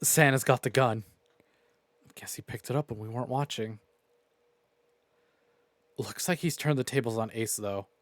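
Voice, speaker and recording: A man streaming a visual novel, reading it aloud and reacting in an expressive, sweary way. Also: medium pitch, moderate pace, pauses often, no room sound. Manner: expressive, profane. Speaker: game streamer.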